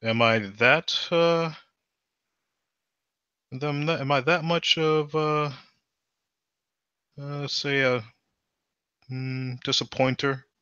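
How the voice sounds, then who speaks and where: A man's voice: medium pitch, steady tone, extremely slow, long pauses, sharp tone, clear, resonant tone, dry recording, highs cut off, voice pushed up. A man voicing a shuffling undead bone merchant with a thick Turkish accent who fumbles through his words. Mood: wary.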